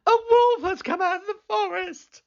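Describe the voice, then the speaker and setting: A woman's voice: very high voice, moderate pace, gravelly, a little mellow, highs cut off, pitched above the performer's own voice. A woman voicing a mischievous young shepherd boy in an exclamatory, dramatic storybook manner. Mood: urgent alarm.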